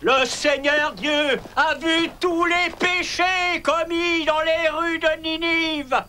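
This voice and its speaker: An actor playing an indignant prophet who proclaims doom in a declamatory way, in a dubbed animated Bible cartoon. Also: male voice, very high-pitched, slow pace, a little hiss or hum, heavily acted. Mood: stern, thundering. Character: wrathful, indignant prophet preaching doom to a sinful city. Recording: loud music under the voice.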